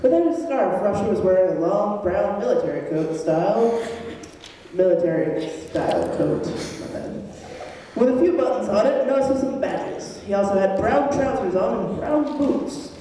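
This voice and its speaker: A woman's voice: medium pitch, lively intonation, normal speed, dark tone. A woman narrating an amateur dramatic reading of comedy fan fiction. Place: large echoey room, school club event. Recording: echoey hall from far off, hissy.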